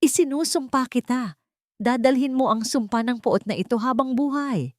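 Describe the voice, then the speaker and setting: A woman plays an older villain in a dramatic audio story. He is bitter and vengeful, declaiming his curses with wide swings in pitch. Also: animated, normal speed, a little mellow, dry recording, clean studio sound. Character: defeated, hateful older male villain cursing his enemy.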